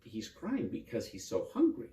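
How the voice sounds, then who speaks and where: A man voicing a poor, kindly village woman in a children's folktale, gentle and plain-spoken. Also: mid-range voice, wildly swooping pitch, moderate pace. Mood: troubled. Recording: roomy echo, distant microphone.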